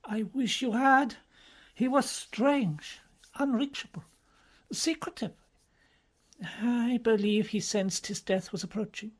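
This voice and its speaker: A man voicing an anxious, confiding older cardinal in an audiobook, speaking earnestly and sometimes in a hush. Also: extremely high pitch, slow pace, pauses often, pitched above the performer's own voice, full character voice. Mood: wistful, confiding. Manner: fretful, earnest, hushed at times.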